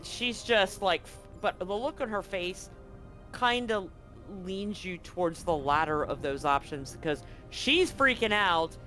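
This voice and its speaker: A man improvising descriptive narration as game master of a tabletop horror game, in a halting, descriptive way. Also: sits high, expressive, bright, forceful tone, soft background music.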